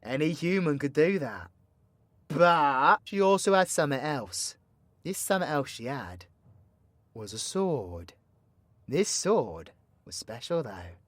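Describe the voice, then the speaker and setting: A man's voice: a little high, big pitch swings, takes it slowly, long pauses, no echo, full character voice. A man playing a cocky, foul-mouthed, playfully teasing supervillain mentor in an audio roleplay, with a British accent and a slangy, swaggering, theatrical delivery. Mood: confiding, storytelling. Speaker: voice actor. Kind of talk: villain ASMR audio roleplay, addressing the listener.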